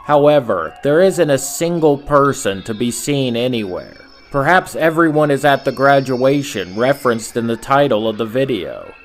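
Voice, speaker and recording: A man hosts a scripted horror commentary in a medium-pitched voice. His delivery is measured and story-like, with rhetorical questions and asides to the listener. Soft music plays behind him. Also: expressive. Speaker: video essay host and narrator.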